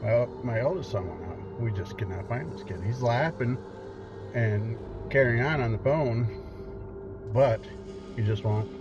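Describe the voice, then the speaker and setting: A man's voice: medium pitch, unhurried. A middle-aged man casually telling a spooky personal story in a conversational way, as a paranormal investigator. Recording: dull lo-fi sound under loud music. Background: loud music.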